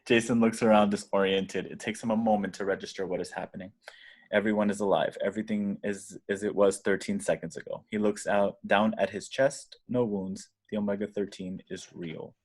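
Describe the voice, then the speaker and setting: A man reading screenplay action directions aloud in a deliberate, dramatic way. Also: on the low side, steady tone, a little mellow. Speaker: stage directions reader.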